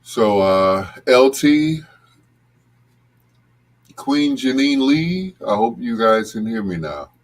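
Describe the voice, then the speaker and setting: A man's voice: mid-range voice, sing-song, takes it slowly, long pauses. A man hosting a casual livestream, greeting viewers by name in a folksy way. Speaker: host of a bedtime stories livestream.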